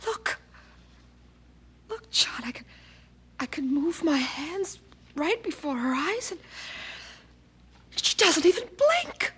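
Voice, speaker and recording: An actress playing an anxious, devout young mother, halting and pleading, in an old-fashioned radio drama. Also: very high-pitched, sing-song, fairly bright tone, full character voice. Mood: distraught, pleading.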